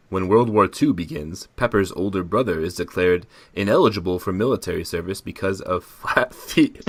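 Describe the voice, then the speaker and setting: A man's voice: sits low, a bit bright, dry recording. A man bantering casually as a podcast co-host, joking and often laughing. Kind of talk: casual banter and halting reading aloud.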